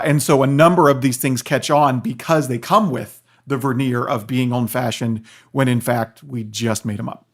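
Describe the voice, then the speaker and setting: An American man speaking as an expert podcast guest, explaining conversationally and animatedly, often checking in with the listener. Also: medium pitch. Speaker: guest expert, philosophy professor and author.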